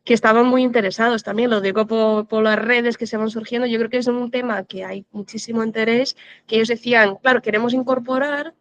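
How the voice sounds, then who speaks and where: A woman's voice: fairly high pitch, fairly even intonation, fairly quick. A woman moderating a conference session over a video call, talking casually and often checking in with the audience. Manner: chatty, with frequent tag questions. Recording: dull lo-fi video call audio.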